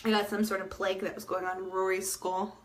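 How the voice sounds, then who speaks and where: A woman in her thirties chatting casually to viewers on a livestream, gushing with lots of fillers, and sick, so she is losing her voice and getting soft-spoken. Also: mid-range voice, flat delivery, fast talker, soft background music. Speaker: livestream host.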